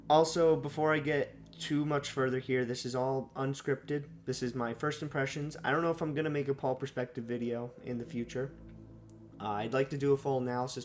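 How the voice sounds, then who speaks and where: A young man with a slightly sore, raspy throat, giving unscripted first impressions of a movie, rambling with lots of fillers and self-corrections. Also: mid-range voice. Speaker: movie reviewer.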